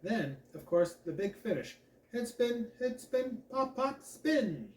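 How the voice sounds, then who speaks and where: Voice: medium pitch, sing-song, takes it slowly, voice pushed up. A man voicing a stern, gushy female dance instructor with a French accent in a storybook. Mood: brisk, commanding. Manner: effusive when praising, barking when displeased. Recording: echoey room.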